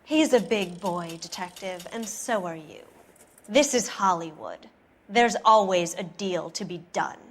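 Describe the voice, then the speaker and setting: A cynical Hollywood wife. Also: female voice, medium pitch, lively intonation, a little slow. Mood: guarded. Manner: cool, bitter and evasive. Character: cynical female producer's wife, cold and guarded. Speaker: producer's wife and former actress. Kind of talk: 1940s noir video game acting.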